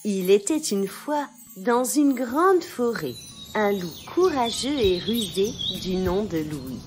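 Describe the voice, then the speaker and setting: A woman narrating a children's tale in an expressive, scripted storytelling style, phrasing clearly for young listeners. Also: medium pitch, slow pace, soft background music.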